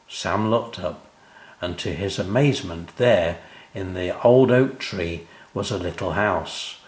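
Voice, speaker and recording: An older man narrating his own children's story in an expressive storytelling style. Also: lowish voice, reverberant.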